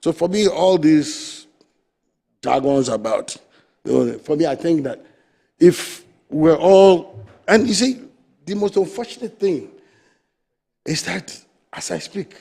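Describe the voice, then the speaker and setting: Gravelly, husky Ghanaian man arguing forcefully. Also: on the high side, animated, slow, long pauses. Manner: forceful, argumentative, emphatic. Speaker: fisheries association president, studio guest. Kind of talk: TV studio discussion.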